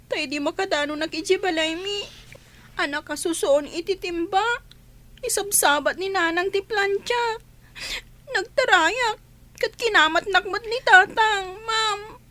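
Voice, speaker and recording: A woman playing a frightened young schoolgirl who pleads haltingly and respectfully in an Ilocano radio drama. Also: very high voice, sharp tone, heavily acted. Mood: tearful, frightened.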